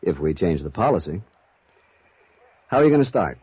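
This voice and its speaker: A man's voice: deep voice, big pitch swings, moderate pace, slightly rough, no echo, full character voice. An actor playing a terse insurance man in a 1950s radio drama. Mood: matter-of-fact. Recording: muffled vintage radio recording.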